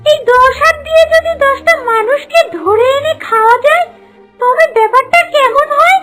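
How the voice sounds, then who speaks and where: A very high, bright ghost wife played by a woman, chatty and sly, a man-eater from a cartoon. Music runs behind her scheming voice. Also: moderate pace. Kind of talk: cartoon voice acting.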